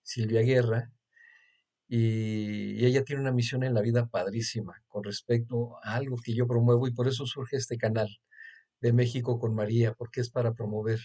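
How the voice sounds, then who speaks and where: A man's voice: mid-range voice, level tone, moderate pace, mellow. A man with a Mexican accent hosting a casual religious interview over a video call. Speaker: host.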